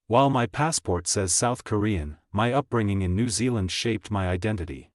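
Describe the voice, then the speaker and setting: Male narrator for a language lesson, lowish voice, dry close recording, careful even delivery. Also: normal speed, dry recording. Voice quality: plain, even reading of each sentence, repeated. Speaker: English teacher reading practice sentences. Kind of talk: slow, clear scripted reading for shadowing practice.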